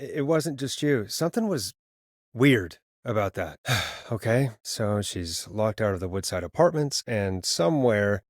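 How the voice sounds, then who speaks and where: A male actor plays a world-weary late-night radio host in a video game. His mid-pitched male voice moves around a lot, close and dry in sound. He is sardonic and conversational, with a caring streak under the wry patter. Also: no echo, natural voice. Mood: wry, pensive.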